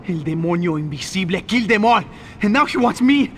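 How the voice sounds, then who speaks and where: A man's voice: sits high, expressive, fairly quick, a bit bright, slight background noise. An actor playing a frightened male gang lackey who begs his boss for help and mixes in Spanish words. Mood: terrified pleading. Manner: pleading, panicky, Spanish words mixed in.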